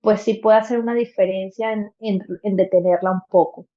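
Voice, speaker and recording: A woman's voice: mid-range voice, steady tone, moderate pace, highs cut off. A Venezuelan woman in her thirties, a podcast guest telling a personal story earnestly, with many fillers and restarts. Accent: Venezuelan Spanish.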